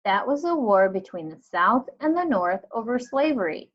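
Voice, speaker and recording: A woman's voice: a little high, big pitch swings, normal speed. Young girl, read by a woman, inquisitive and plain-spoken storybook heroine, direct delivery, dull recording. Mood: defensive.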